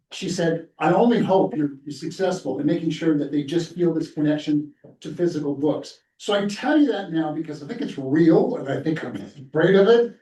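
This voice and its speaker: A man's voice: a little high, warm tone. Earnest older man giving public comment. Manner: earnest and rambling, with frequent fillers. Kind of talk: public comment at a board meeting. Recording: echoey meeting room, mic far off.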